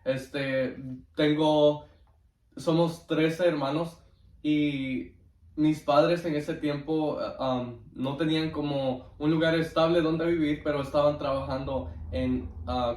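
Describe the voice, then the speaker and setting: Man, devout and halting testimony, slightly high voice, away from the mic. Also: steady tone, a little mellow, slight background noise. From Guatemala. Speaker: interview guest giving testimony. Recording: roomy echo, distant microphone.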